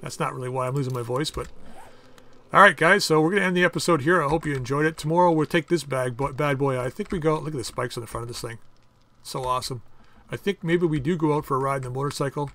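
A man hosting a casual game playthrough, chatting and thinking aloud as he crafts items, with his voice going a bit hoarse. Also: a little high, fast talker, bright, forceful tone. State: losing his voice. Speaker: gaming channel host. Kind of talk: casual let's-play commentary.

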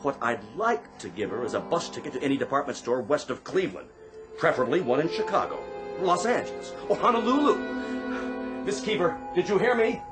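A man acting as a fussy department store manager in a radio play. His delivery swings widely in pitch, officious and sarcastic, with impatience never far off. Music plays faintly behind him. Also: lively intonation, a little fast, close to an everyday voice. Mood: exasperated, sarcastic.

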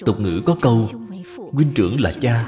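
A gentle, dutiful wife in a cartoon folk tale, voiced by a male narrator, reasoning politely and patiently. The soothing words sit in long reverb with music behind. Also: mid-range voice, animated, moderate pace, close to an everyday voice. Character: gentle, dutiful, patient young wife counselling her husband.

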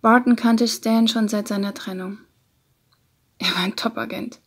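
A woman reading literary narration in a breathy voice that often slips toward a whisper. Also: on the high side, fairly even intonation, measured pace. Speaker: audiobook narrator.

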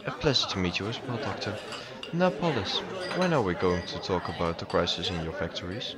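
A man's voice: low-pitched, brisk, over a noisy crowd, lightly acted. A man playing an impatient pushy president. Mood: self-important. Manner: impatient, self-important.

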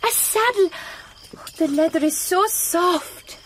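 A woman in a radio drama playing an excitable, affectionate young married woman. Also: very high-pitched, slow pace, slight background noise, heavily acted. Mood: excited.

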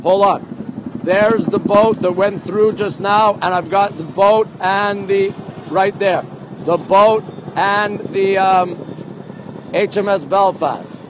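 A middle-aged man hosting a casual walking live stream, chatty and excitable, often repeating himself as he reacts to viewers. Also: high-pitched, slow. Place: outdoors on busy city streets, walking. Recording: outdoor street and traffic noise.

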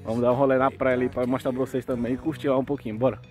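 A relaxed Brazilian man in his thirties rambles cheerfully to his viewers as he walks trails and a beach. He films himself on a handheld phone outdoors. Music plays clearly beneath his voice. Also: medium pitch, very fast. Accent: Brazilian Portuguese. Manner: relaxed, chatty, addresses viewers as "pessoal". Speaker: travel vlogger. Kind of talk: casual vlog narration. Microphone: handheld phone camera.

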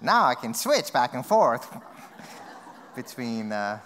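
Slow, measured male moderator in a hall. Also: mid-range voice, very animated, a little hiss or hum. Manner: slow, measured, with soft laughs. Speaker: panel moderator. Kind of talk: moderating a stage panel. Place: seated on a large theater stage. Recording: long hall reverb.

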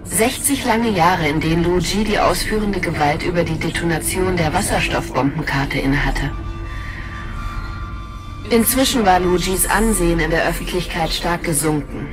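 A woman's voice: on the low side, moderate pace, natural voice. A woman speaking scripted lines in a radio drama. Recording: music behind the voice.

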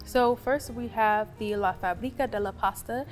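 A woman's voice: a little high, moderate pace, soft background music. A young woman co-hosting a cooking demonstration in a studio kitchen, presenting food products in an upbeat, agreeable way. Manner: upbeat, frequent agreeing murmurs.

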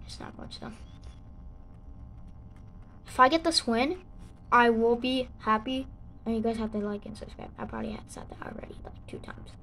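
Chatty kid with a sore throat. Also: female voice, fairly high pitch, low-quality recording. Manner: rambling, halting, with excited outbursts. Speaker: gaming channel host. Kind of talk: casual gameplay commentary.

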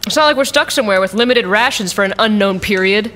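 A woman's voice: mid-range voice, quick pace, a bit bright, slight background noise, lightly acted. A woman playing a practical, wry mercenary in an audio drama, with an exasperated way of talking. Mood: wry, scolding. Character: practical, wry female mercenary, the team's interpreter.

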